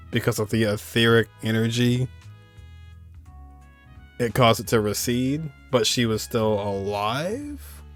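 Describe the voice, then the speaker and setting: Man, slightly deep voice, casual game reaction, informal, thinking aloud, soft music behind. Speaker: gaming commentator. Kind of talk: casual reaction commentary over game cutscenes.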